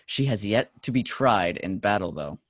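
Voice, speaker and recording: A man's voice: on the low side, animated, normal speed, dry recording. Guy doing a mocking soldier in a joan of arc era play, sneaky and scornful, close dry mic. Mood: mocking doubt. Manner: mocking, theatrical. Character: scornful, sly male medieval French soldier, doubting and scheming. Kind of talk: stage play table read.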